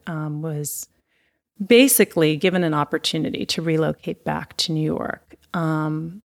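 A middle-aged woman from Taiwan and Long Island telling her family story as an interview guest, chatty and laughing. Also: low voice. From born in Taiwan, raised on Long Island.

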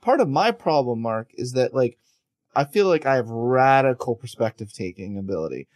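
A man's voice: medium pitch, lively intonation, moderate pace, a little mellow. A man hosting a podcast, chatting in a loose, rambling, self-deprecating way with many restarts. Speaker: podcast host. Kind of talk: casual podcast chat.

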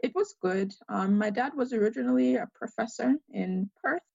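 A young woman with a gravelly, fry-laden voice answers interview questions in a mixed Zambian accent with Australian and American traces. She pauses often on "um" as she thinks. The sound is dry and somewhat dull. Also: on the high side, darkish tone, no echo. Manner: hesitant, many "um" pauses. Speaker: guest.

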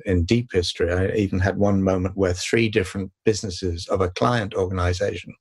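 Man, older, calm voice, somewhat low, slightly breathy, measured, hesitant. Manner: measured, reflective, many hesitations and restarts. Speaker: sustainability consultant and author, guest. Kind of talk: interview answers.

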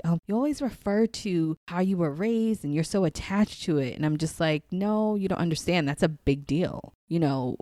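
A woman talks in a low, dry-sounding voice. She rambles and laughs easily, full of asides and "like"s, as a guest on an interview podcast. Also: dry recording. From Queens, New York City. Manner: animated, rambling, laughs often, many "like"s. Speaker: guest, psychic channel. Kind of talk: casual interview chat.

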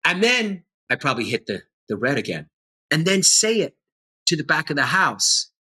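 A man's voice: on the high side, big pitch swings, normal speed, bright, forceful tone, breathy edge. A middle-aged man, an actor and teacher, talking earnestly and with animation in a conversational interview.

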